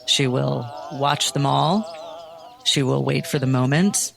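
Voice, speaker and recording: Creaky-voiced woman roleplaying in a tabletop game. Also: very deep voice, slow pace, over music. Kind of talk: in-character roleplay and narration.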